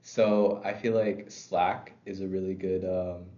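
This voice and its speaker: A man role-playing a sales representative making a pitch. He says he has been sick, and he hesitates with many fillers. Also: little pitch movement, unhurried, dark tone. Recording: dull sound in an echoey room.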